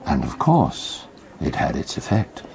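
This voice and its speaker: A man playing a wry, knowing storyteller in a radio detective drama, speaking deliberately. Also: extremely low pitch, wildly swooping pitch, gravelly, a little mellow, full character voice. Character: wry, knowing male storyteller recounting a trial.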